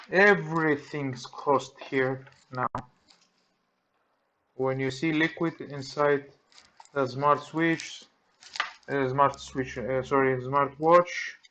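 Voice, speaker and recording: Greek guy fixing stuff at his bench, slow broken english, muffled camera audio. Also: fairly high pitch, a bit bright, slight background noise. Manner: halting, thinking aloud in simple English while working. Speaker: repair technician. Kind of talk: hands-on repair tutorial. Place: workbench.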